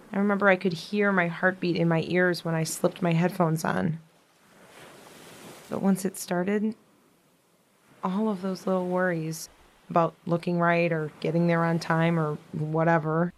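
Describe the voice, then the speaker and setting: Actress as frail young woman, gentle, ailing, reflective, quietly resolute, modern audio drama. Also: on the low side.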